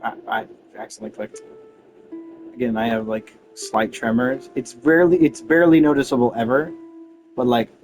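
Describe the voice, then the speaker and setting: Guy streaming a game, chatty rambly informal talk, normal pitch, bit of music in the background. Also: normal speed, poor audio. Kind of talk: casual gameplay commentary. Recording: faint game music behind.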